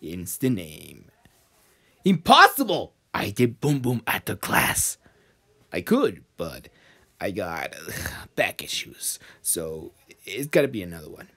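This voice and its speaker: Guy doing a hesitant explosive cartoon bird, slow and awkward, pitch jumps all over the place. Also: sing-song, gravelly, fairly bright tone, husky, exaggerated voice. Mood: sheepish, halting, then evasive. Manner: halting, awkward, hesitant with sudden bursts. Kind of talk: cartoon character voice reel.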